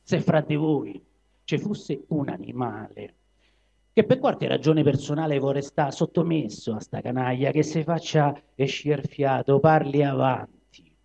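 A man's voice: medium pitch, vocal fry, dark tone, slightly rough, lo-fi sound, voice pushed down, full character voice. Man playing a commanding lion orator. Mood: stern. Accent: Roman. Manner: measured, emphatic speechifying. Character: commanding male lion, rallying political orator.

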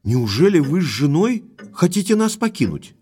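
An actor playing a frank, thoughtful young gentleman heir to a castle in an audio drama. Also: fairly high pitch, very animated, moderate pace. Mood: questioning, concerned.

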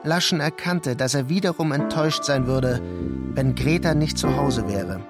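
A man reading scripted literary narration for a radio play in a measured, deliberate way. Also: mid-range voice. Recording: prominent music under the voice. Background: music.

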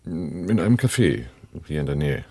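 An actor playing a cool, cautious arms smuggler on the run in a radio drama, with clipped, controlled delivery. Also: male voice, very deep voice, sing-song, normal speed, warm tone, breathy edge, no echo, full character voice. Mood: businesslike, guarded. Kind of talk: German radio drama dialogue and first-person narration.

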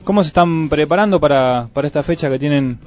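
A man's voice: a little high, fast, faint music behind. A man with an Argentine accent co-hosting a radio show, interviewing a band in a joking, easygoing way. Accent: Argentine Spanish. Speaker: radio host.

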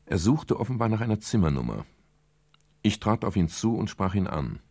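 An actor playing a calm, protective private detective who narrates a radio crime drama in a husky, gravelly voice. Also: male voice, lowish voice, moderate pace, heavily acted. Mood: composed, matter-of-fact.

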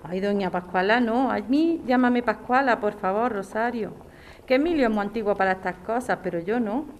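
A woman's voice: medium pitch, moderate pace, a little hiss or hum, natural voice. A woman from playing a caring, practical neighbour in an audio drama, direct, informal and protective. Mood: friendly.

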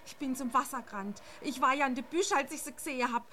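High-voiced, distraught woman witness. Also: bright, forceful tone, a little hiss or hum. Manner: insistent, halting, colloquial. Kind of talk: radio drama acting.

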